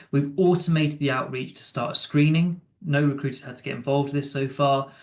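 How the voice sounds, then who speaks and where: Man presenting in an echoey room. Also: mid-range voice. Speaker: software demo presenter. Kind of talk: product webinar recap. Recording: roomy, echoing sound.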